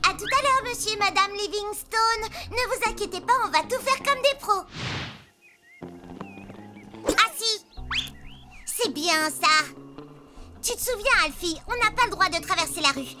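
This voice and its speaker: Cartoon little girl: eager, emphatic, piercingly high, with prominent music underneath. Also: animated, unhurried, pauses often. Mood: earnest, confident. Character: bossy, eager little girl playing professional dog-sitter. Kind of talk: French cartoon dubbing.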